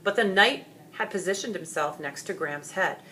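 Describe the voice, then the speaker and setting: A woman reading a story aloud as expressive scripted narration. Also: lowish voice, moderate pace. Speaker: storybook reader.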